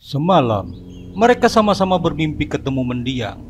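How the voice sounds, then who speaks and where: A man's voice: a little high, big pitch swings, moderate pace, noisy background. A man narrating a scripted horror story.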